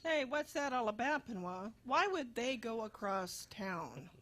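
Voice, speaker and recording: A woman's voice: on the high side, sing-song, unhurried, fairly bright tone, full character voice. A woman playing a sarcastic, exasperated landlady in a comic radio play, needling and dry. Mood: mocking. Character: nagging, sarcastic female landlady, exasperated and money-minded.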